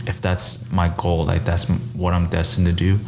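A man's voice: low voice, completely flat delivery, moderate pace, vocal fry. A young man from Taiwan whose first language is Mandarin, talking casually and reflectively as a photographer guest, with lots of "like". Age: young adult. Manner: reflective, fills speech with "like". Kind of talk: casual podcast conversation. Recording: noisy room ambience.

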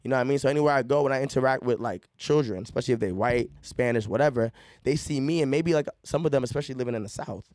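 A young man from Liberia talks fast in a loose, animated interview style, mid-pitched and easy. He often laughs while telling a story. The room is dry and close to the mic. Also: no echo. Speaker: guest. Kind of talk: casual interview chat.

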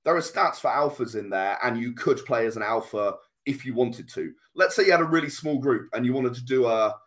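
A man's voice: mid-range voice, lively intonation, brisk, a bit bright. Man explaining things with enthusiasm. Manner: explanatory, talks in long enthusiastic runs. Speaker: tabletop game designer and guest. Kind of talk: casual interview chat.

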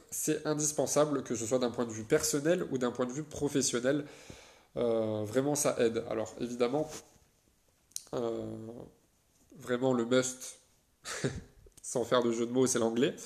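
A man's voice: medium pitch, a little mellow. A young man from France talking through his solo podcast, loose and chatty, full of hesitations and restarts. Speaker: podcast host.

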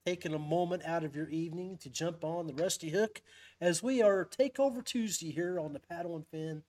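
A man's voice: on the high side, brisk, a little mellow. A man hosting a casual live-stream podcast in a folksy, chummy way. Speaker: podcast host.

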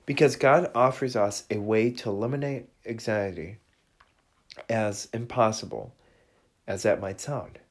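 A man's voice: lowish voice, unhurried. A man giving a casual, earnest sermon, his voice congested from seasonal allergies. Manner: earnest, conversational, with restarts. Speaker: preacher.

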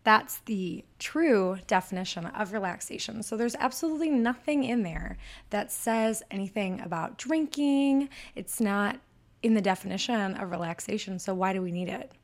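A woman's voice: medium pitch, normal speed. A woman with a Michigan accent hosting a solo podcast, talking in a bubbly, chatty and upbeat way. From Michigan, USA. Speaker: podcast host.

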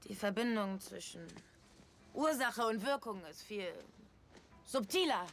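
A woman's voice: medium pitch, expressive, measured pace, a bit bright, slight background noise. An actress playing an erratic, cheerfully menacing female assassin in dubbed series dialogue, blunt and bossy in manner. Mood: matter-of-fact. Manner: blunt, casually threatening, bossy.

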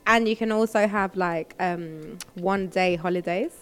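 A woman hosting a casual interview, informal and slangy, with animated reactions. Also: lowish voice, a little slow, smooth. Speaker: interviewer and show host.

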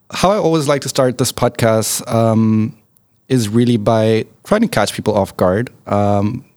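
Podcast host with a low, dry voice. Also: male voice, darkish tone, dry recording. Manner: friendly, many filler ums. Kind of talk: casual interview conversation. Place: office.

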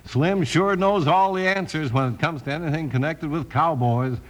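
A man's voice: on the high side, normal speed, low-quality recording. A man working as announcer and narrator on a 1950s children's radio show, reading scripted copy.

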